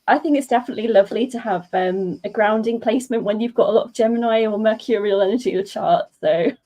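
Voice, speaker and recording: A woman's voice: medium pitch, fast talker, mellow. A woman hosting a casual live interview on a video call, with polite, hesitant phrasing. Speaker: host.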